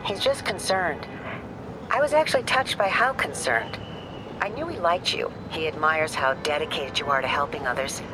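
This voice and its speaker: An actress voicing an older, caring aunt who speaks gently and affectionately. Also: female voice, deep voice, lively intonation, normal speed, background noise.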